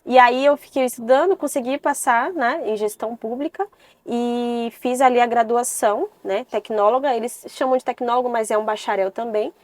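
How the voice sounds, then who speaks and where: A woman in her twenties from Salvador, Brazil, talking earnestly as a podcast guest and often pausing and adding "né". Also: on the high side, dry recording. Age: 20s. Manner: earnest, with many hesitations and "né" tags. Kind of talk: casual interview chat.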